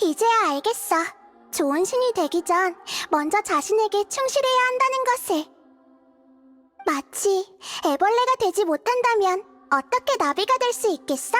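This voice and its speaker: Game role: a wise, gentle childlike goddess, tiny very high voice, reflective and earnest, music behind. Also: female voice, lively intonation, normal speed. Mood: resolved, thoughtful. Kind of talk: video game character dialogue.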